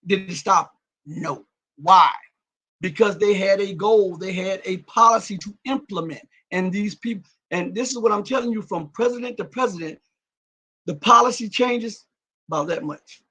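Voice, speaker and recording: A man hosting a political commentary livestream, whose voice cracks and breaks up. He reads viewer comments and builds to loud, heated rhetorical questions. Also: high-pitched, moderate pace, breathy edge. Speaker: livestream host.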